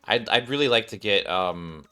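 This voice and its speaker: A man with a low voice chats casually through a game stream. He rambles and mutters, partly to himself and partly to viewers, with faint game music behind him. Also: lively intonation, normal speed, bright, forceful tone, clean tone. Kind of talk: casual gameplay commentary.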